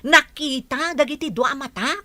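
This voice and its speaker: Bright, sharp gossiping village woman acted by a woman. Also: high voice, moderate pace, no echo. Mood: gossipy. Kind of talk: radio comedy drama acting.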